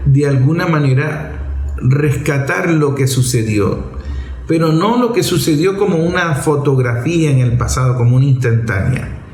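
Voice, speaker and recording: A man's voice: fairly high pitch, a little mellow. Man explaining in an echoey room. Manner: emphatic and rhetorical, with posed questions and repeated phrasing. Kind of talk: reflective, didactic spoken commentary.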